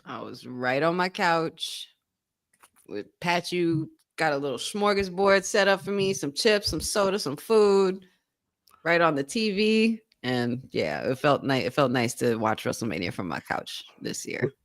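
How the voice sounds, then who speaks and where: A woman's voice: deep voice, very animated, measured pace. A woman co-hosting a casual podcast, giggling often and talking with amusement. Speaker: podcast co-host. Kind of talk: casual chat.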